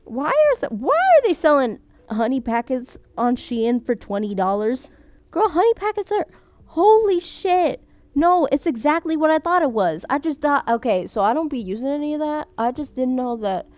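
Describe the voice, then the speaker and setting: An animated young woman reads viewer submissions in a casual chat, her voice sitting a little high. Vietnamese was her first language. She laughs often, breaks off mid-sentence and starts over. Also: normal speed, no room sound. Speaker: streamer reading viewer submissions.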